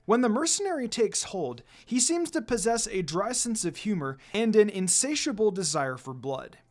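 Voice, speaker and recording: A narrator reading a scripted fan fiction story aloud in an expressive storytelling style. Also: mid-range voice, moderate pace. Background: background music.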